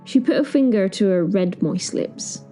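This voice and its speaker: A woman's voice: mid-range voice, expressive, moderate pace, mellow, faint music behind, natural voice. A woman playing a flustered, dry-witted reluctant caretaker of Hell, narrating an audio drama in the first person in a wry, understated, digressive way.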